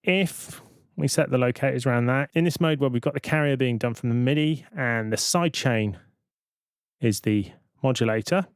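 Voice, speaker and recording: A man giving an informal, step-by-step software demonstration as a tutorial presenter. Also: mid-range voice, normal speed, clear, resonant tone, no echo, clean studio sound.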